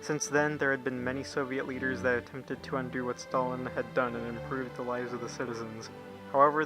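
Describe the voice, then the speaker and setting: A man's voice: medium pitch, poor audio. A man reading scripted explainer narration for a puppet show.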